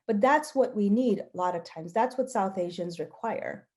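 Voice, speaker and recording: A woman researcher, a native Gujarati speaker, giving a prepared talk with personal storytelling in an earnest, measured, emphatic way. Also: medium pitch, a little mellow. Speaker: health researcher presenting.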